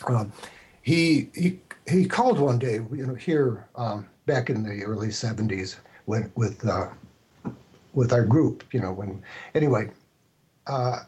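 An older man telling stories casually as a podcast guest, in a halting, circling way. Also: medium pitch, lively intonation, a little mellow, slightly rough. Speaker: podcast guest, radio producer. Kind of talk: casual storytelling.

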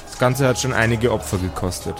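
A man narrating an improvised tabletop audio play as game master, in measured, dramatic short phrases. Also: fairly low pitch, over music.

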